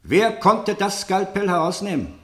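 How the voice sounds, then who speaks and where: A stern, insistent interrogator in a radio drama. His questioning is formal and accusatory, with strongly varied pitch, and it rings in a roomy, echoing space. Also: lively intonation, measured pace, fairly bright tone. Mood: accusing, insistent. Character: stern, insistent male interrogator pressing a murder suspect. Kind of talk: German radio play acting.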